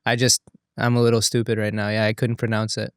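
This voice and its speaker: A man's voice: lowish voice, monotone, fast talker, clear, resonant tone, no echo, clean studio sound. A man, a comedian guest whose first language was Russian, chatting on a podcast with emphatic, joking quips. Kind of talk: casual conversation. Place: podcast studio.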